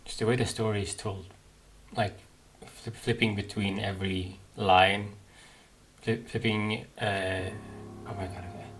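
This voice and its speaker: A man giving casual, analytical reaction commentary as a gamer, halting as he thinks aloud. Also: lowish voice, steady tone, fairly bright tone, low-quality recording. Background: game music.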